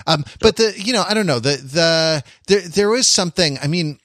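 A man's voice: fairly high pitch, lively intonation, a bit bright, dry recording. Male podcast co-host, casual film chat, searching and repetitive delivery, very dry close sound. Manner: halting, searching, repeats phrases as he thinks aloud.

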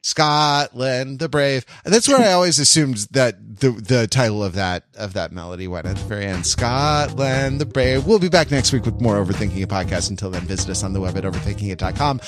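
A man hosting a podcast in casual, reflective chat, rambling and halting as he circles back over his phrases. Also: mid-range voice, faint music behind. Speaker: podcast host.

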